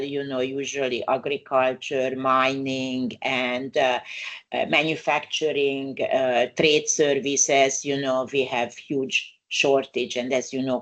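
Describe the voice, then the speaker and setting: Older Hungarian-accented woman presenting. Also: rumbling low. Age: 60s. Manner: unhurried, frequent "uh" fillers, non-native grammar. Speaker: migration lawyer presenting a webinar. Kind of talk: webinar presentation.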